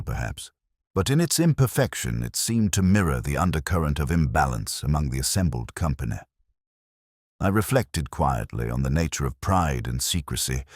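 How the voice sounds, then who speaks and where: A man narrating a detective story in the first person, in a measured, formal and reflective way. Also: very deep voice, no room sound, clean studio sound.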